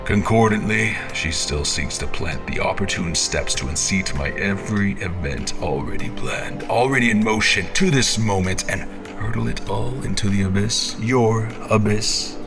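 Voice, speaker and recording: A man's voice: on the low side, moderate pace, gravelly, over music. A man playing an obsessed, menacing, half-mad champion in a theatrical monologue, grandiose and brooding, with whispery breaths. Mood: menacing, defiant.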